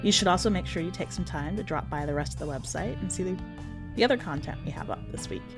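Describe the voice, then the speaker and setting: A woman's voice: on the low side, animated, fast talker, vocal fry, over music. A woman hosting a podcast, giving informal commentary and talking directly to listeners in a conversational way. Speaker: podcast host and editor.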